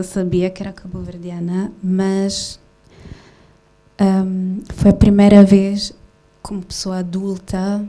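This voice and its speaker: A woman's voice: mid-range voice, mellow. A woman raised in Switzerland, a film director answering a festival question in a hesitant way with many fillers and restarts. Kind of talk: festival Q&A talk.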